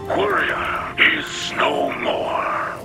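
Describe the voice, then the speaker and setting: A wise, gentle alien elder, speaks very solemnly in a breathy, rasping growl. The phrasing is slow and ceremonial, like a game cutscene, and loud music plays behind. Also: male voice, low-quality recording.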